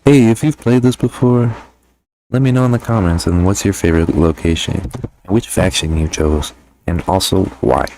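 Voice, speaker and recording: A man's voice: low-pitched, normal speed, warm tone, faint music behind, low-quality recording. A young man giving a casual, sweary video game review as a gaming YouTuber. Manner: informal, heavily sweary.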